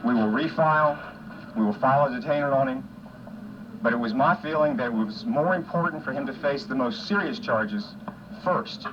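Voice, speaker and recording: A man's voice: mid-range voice, normal speed. A man, a prosecutor, making a statement to reporters on a noisy lo-fi recording. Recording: noisy lo-fi press soundbite.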